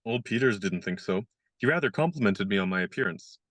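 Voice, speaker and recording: An actor in a radio play playing a young gentleman disguised as a valet, speaking in a dry, good-humored, polite way. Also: on the low side, expressive, fairly quick, dry recording. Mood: wry.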